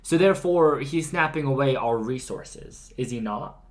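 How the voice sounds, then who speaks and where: A man's voice: medium pitch, expressive, normal speed. Teenage guy joking on a podcast. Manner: jokey, playful, often talks over his co-host. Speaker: podcast co-host. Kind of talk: casual chat.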